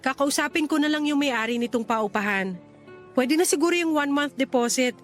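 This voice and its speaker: A woman's voice: high voice, a little fast, faint music behind, lightly acted. Woman acting a teasing, kind boarding-house woman. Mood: helpful, cautioning. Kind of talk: Tagalog radio romance drama acting.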